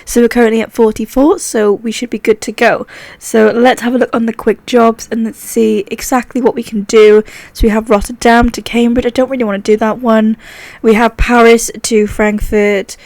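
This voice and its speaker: A young woman with a croaky sore throat chatting casually and upbeat while playing a driving game, with faint vehicle sounds behind her. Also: fairly high pitch, little pitch movement, moderate pace, a little mellow, dry recording. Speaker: gaming channel host. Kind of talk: casual gameplay commentary.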